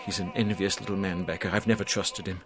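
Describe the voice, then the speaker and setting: An actor playing an anxious, meticulous hotel manager who stammers formally in a radio drama. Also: male voice, low-pitched, steady tone, fast. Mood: uneasy, wary. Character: stern, anxious, meticulous male hotel manager under suspicion.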